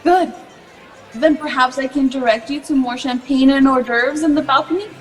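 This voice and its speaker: A woman's voice: high-pitched, steady tone, moderate pace, some chatter behind, close to an everyday voice. A woman playing a gracious but easily flustered society hostess, polite and coaxing, in a radio drama. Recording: long hall reverb, music underneath.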